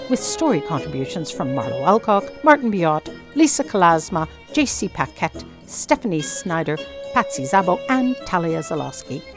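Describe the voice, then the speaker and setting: A woman announcer giving a scripted read of the closing credits for an audio drama. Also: low voice.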